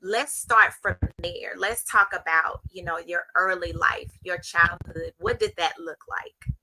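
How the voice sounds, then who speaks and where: Bright, forceful woman hosting. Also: fairly low pitch, unhurried, a little hiss or hum, low-quality recording. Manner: emphatic, repeats phrases for stress. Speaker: live show host and interviewer. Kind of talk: conversational livestream interview.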